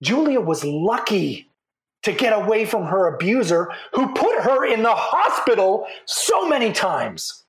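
A man's voice: sits high, sing-song, measured pace, full character voice. A man acting an earnest, combative debater in a fiction podcast, arguing emphatically. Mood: urgent, argumentative. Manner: emphatic, argumentative. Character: earnest, combative male debater on a press tour.

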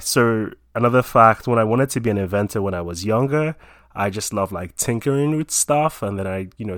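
A man's voice: fairly low pitch, dry recording, studio quality. A young man from Nigeria in his twenties, chatting casually about himself with lots of fillers. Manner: relaxed, rambling with many fillers.

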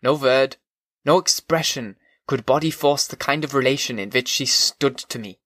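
A man voicing an earnest gentleman with a put-on German accent, recounting his childhood in formal, measured audiobook narration of a classic novel. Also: mid-range voice, no echo, clean studio sound, close to an everyday voice. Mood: earnest, devoted.

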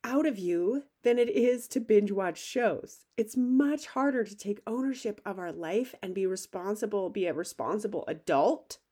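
A woman hosting a solo podcast as a life coach, upbeat and conversational, her voice a little gravelly from a cold. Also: medium pitch, animated, moderate pace, few pauses, dark tone. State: has a cold, slightly gravelly.

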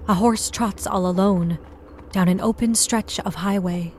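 A woman playing a sober narrator who catalogues photographs in measured, clipped phrases for an audio drama. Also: medium pitch, fairly even intonation, a little mellow, slight background noise, natural voice. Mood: somber, measured.

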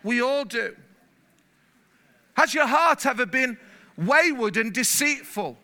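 Brief: male pastor from England, high-pitched and sharp, lively delivery that swells louder. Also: slow. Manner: animated, gets louder when stirred, repeats words. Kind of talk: sermon. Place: church hall.

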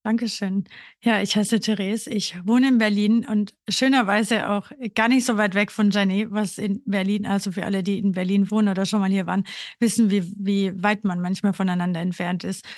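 A woman's voice: mid-range voice, flat delivery, moderate pace, no room sound, studio quality. A thirty-year-old woman from Austria, talking casually and personally as a podcast co-host. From Burgenland, Austria. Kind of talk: casual personal conversational talk.

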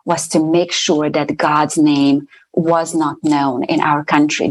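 Low-voiced woman from Romania sharing testimony. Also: poor audio. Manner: earnest, steady storytelling. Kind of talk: faith testimony interview.

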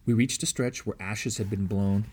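Low-voiced man reading a story. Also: steady tone, moderate pace, a little mellow, slightly rough. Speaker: audiobook reader. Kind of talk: scripted story narration.

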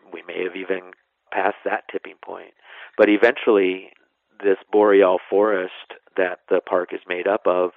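A man's voice: low voice, creaky, no echo, phone-quality sound. A man answering interview questions informally as an expert, explaining things and sometimes letting sentences trail off. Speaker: national park superintendent, interview guest.

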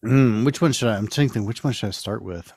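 A man hosting a podcast in casual chat, telling things with drawn-out emphasis. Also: on the low side, darkish tone, no room sound. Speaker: podcast host.

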